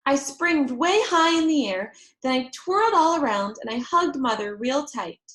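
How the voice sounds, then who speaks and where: A woman narrating a children's chapter book aloud in an expressive read-aloud style. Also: sits high, moderate pace, echoey room.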